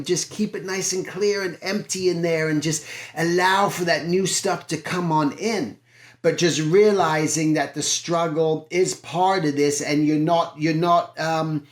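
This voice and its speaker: A man, an art teacher and channel host, giving an earnest, encouraging motivational talk to his viewers. He often repeats phrases and asks himself questions out loud. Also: a little high, echoey room.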